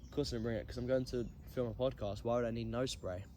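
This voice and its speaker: A young man from England, nasal from hay fever, hosting a casual solo podcast and rambling with self-deprecating asides and many pauses. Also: mid-range voice, steady tone, a little mellow, a little hiss or hum. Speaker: podcast host.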